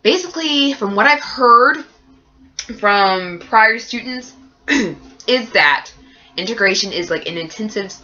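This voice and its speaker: Congested, nasal woman with a bright tone. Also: on the high side, animated, slow, muffled recording. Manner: chatty, rambling with many fillers. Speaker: nursing student vlogger. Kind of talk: casual vlog update.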